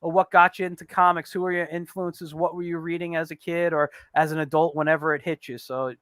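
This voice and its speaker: A man's voice: fairly high pitch, level tone, brisk, a bit bright, no room sound. A man in his forties hosting an online interview show, chatty and upbeat with frequent fillers. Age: 40s. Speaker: host.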